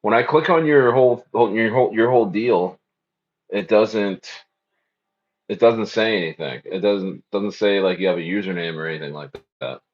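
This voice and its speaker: A man's voice: on the low side, moderate pace, highs cut off. A man hosting a casual crypto livestream, speaking loosely and repeating phrases as he reads the chat.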